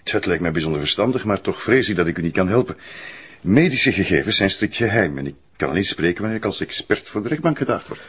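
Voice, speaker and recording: A man's voice: low-pitched, animated, fast talker, a bit bright, slightly rough, full character voice. A male actor playing a reserved chief psychiatrist in a radio drama, speaking formally and politely. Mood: formal, guarded. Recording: noisy radio drama recording.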